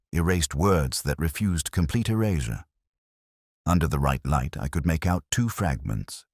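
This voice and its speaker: A man playing a melancholy, reflective police detective, narrating his case in a measured, literary, brooding manner for a mystery audio drama. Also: extremely low pitch, slightly rough, no echo, clean studio sound, exaggerated voice. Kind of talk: scripted first-person noir-like mystery narration.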